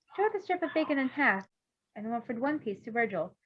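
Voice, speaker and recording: A woman's voice: high voice, big pitch swings, fairly quick, husky, highs cut off. A woman reading fan fiction aloud as an amateur narrator, expressively and informally. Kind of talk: expressive story narration with casual asides.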